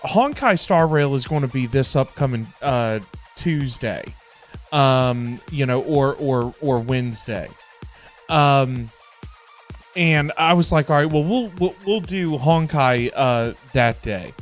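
A middle-aged man chatting casually on a livestream in a halting, repetitive, informal way. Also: medium pitch. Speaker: livestream host. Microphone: close desk microphone. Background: background music.

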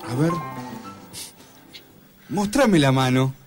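An Argentine piano teacher in a radio comedy, mid-pitched, encouraging and a little shifty. Music plays behind him. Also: male voice, animated, slow pace. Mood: coaxing. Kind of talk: comic radio drama acting.

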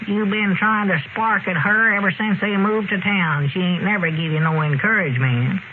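A man's voice: high-pitched, moderate pace, lightly acted. A man playing an elderly, skeptical backwoods storekeeper with a rural Southern accent and folksy talk, in radio comedy style. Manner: folksy, drawling country talk. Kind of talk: 1930s-40s radio comedy acting. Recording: old radio broadcast, narrow band, noisy.

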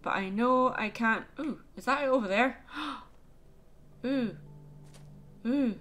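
A woman's voice: a little high, slow pace, fairly bright tone, soft background music. A woman hosting a let's play, chatting casually and exclaiming at what happens on screen. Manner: chatty, easily startled, exclaims at the game. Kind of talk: casual gameplay chat.

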